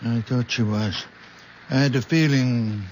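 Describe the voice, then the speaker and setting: A man playing a gentle, lonely very old father with healing hands in an old radio drama, speaking softly and haltingly. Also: medium pitch, steady tone, close to an everyday voice, rough recording. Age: older. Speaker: aged father. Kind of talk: 1970s radio drama acting. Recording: old radio broadcast with hiss.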